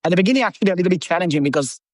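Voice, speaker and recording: A man's voice: high voice, expressive, rapid-fire, no echo, clean studio sound. A man in his thirties from Italy with an Italian accent, chatting as a podcast guest, loose and rambling with lots of fillers. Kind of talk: casual interview chat.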